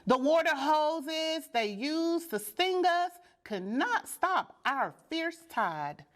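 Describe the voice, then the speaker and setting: A woman reading a children's storybook aloud with deliberate, dramatic and expressive phrasing. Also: sits high. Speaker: storybook reader.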